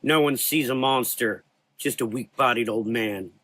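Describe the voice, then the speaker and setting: A man voicing a tough, bitter, defiant gunfighting woman in a gothic audio drama, sharp-tongued and sarcastic. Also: medium pitch, moderate pace, lightly acted.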